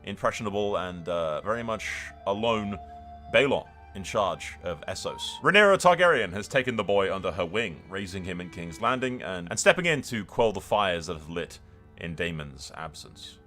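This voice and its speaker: A man hosting a game roleplay series, telling the story as he plays in an excitable, dramatic way. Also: deep voice, moderate pace, soft background music. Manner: excitable, dramatic, mild swearing. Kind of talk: lively game playthrough commentary and storytelling.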